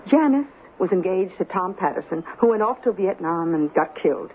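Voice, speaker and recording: An actress plays an affectionate wife reasoning with her husband in a radio drama. She coaxes and explains theatrically, her pitch moving freely. The recording is a narrow, faintly noisy old broadcast. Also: lively intonation, fairly quick, close to an everyday voice. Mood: eager, persuasive.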